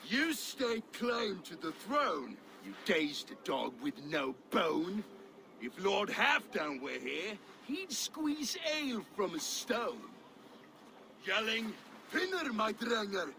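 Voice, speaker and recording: An actor playing a boastful, scornful Danish Viking steward, gravelly and theatrical in his taunts, in a video game cutscene. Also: sits high, big pitch swings, takes it slowly, pushed and bright, full character voice. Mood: scornful boasting. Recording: game ambience, clear background noise.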